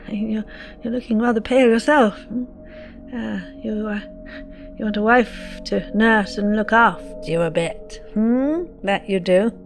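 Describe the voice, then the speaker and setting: An actress playing a genteel older mother in an audio drama, hesitant, grateful and gently fussing. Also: mid-range voice, measured pace, gravelly, faint music behind. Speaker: actor.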